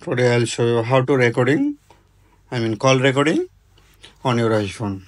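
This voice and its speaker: A man hosting an informal step-by-step tech tutorial, speaking non-native English in short, choppy, halting phrases. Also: medium pitch, big pitch swings, slight background noise. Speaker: tutorial host.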